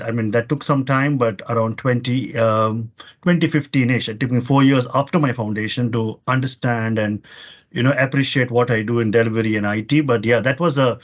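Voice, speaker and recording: A man in his forties, a podcast guest, talking earnestly about his career in long runs full of fillers. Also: mid-range voice. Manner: earnest, talks in long lists with many fillers. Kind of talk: conversational interview answers. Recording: muffled lo-fi audio.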